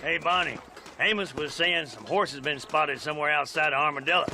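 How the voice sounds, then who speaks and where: An actor playing an easygoing ranch cowboy in a video game. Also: male voice, on the high side, fairly quick, sharp tone, slight background noise. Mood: casual, teasing. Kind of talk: video game cutscene acting.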